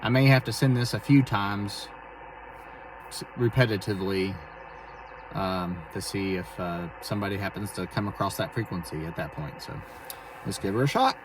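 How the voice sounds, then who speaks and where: Low-voiced man, halting and casual, noisy recording. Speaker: amateur radio vlogger. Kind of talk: casual explanatory demonstration to camera. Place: inside a car for the later part. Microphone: phone camera. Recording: clearly noisy phone video recording.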